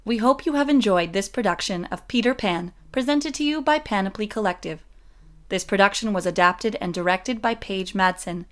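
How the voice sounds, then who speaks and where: A woman announcer giving a scripted, formal reading of cast credits. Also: mid-range voice.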